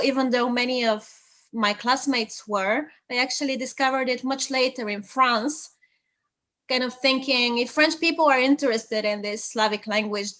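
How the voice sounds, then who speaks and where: A woman's voice: fairly high pitch, a bit bright. A woman whose first language is Bulgarian, co-hosting a casual interview about languages and explaining her own language background. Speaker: co-host. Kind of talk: casual video call interview.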